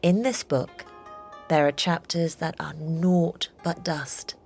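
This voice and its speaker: Deep, slow woman reading lore. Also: steady tone, gravelly, a little mellow, soft background music. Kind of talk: scripted lore narration.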